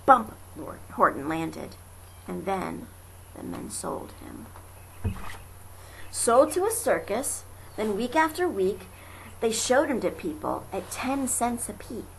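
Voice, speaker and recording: A woman reading children's verse aloud as an amateur storyteller, dramatic and deliberate in her delivery. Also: medium pitch, sing-song, creaky, a bit bright, low-quality recording. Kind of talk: expressive children's verse narration. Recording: faint noise, amateur home recording. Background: faint ambient noise.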